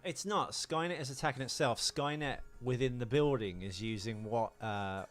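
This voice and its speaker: A man co-hosting a podcast, reading trivia and chatting about a film in a halting way with dry humour. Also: mid-range voice, soft background music. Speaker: podcast co-host.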